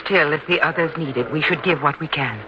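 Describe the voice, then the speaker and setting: An actor playing a calm, devout mother who speaks in a measured, gently firm way in an old-time radio drama. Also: medium pitch, natural voice. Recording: dull lo-fi radio sound, clear noise.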